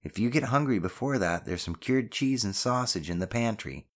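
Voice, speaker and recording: A man voicing a caring, gently stern and slightly bashful mother in an audiobook, chiding with affection. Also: on the low side, animated, moderate pace, voice pushed up, natural voice. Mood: warm, caring. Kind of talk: audiobook character dialogue.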